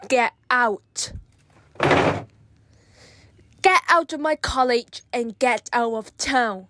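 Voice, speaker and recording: A stern college registrar played by a woman in a radio play, haughty and disbelieving. She speaks very slowly in a high voice, recorded very dry. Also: bright, forceful tone, no echo. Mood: indignant. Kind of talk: amateur radio drama acting.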